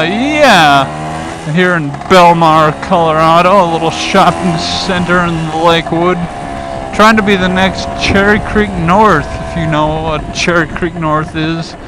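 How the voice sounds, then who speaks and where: A man with a fairly high voice rambles easily while riding his scooter through town. He talks in a loose, unhurried way, full of "uh" and "you know". Road, wind and engine noise sit clearly under his voice from the helmet-mounted mic. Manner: chatty, laid-back, lots of "uh" and "you know". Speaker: motovlogger. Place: outdoors on a scooter in city traffic.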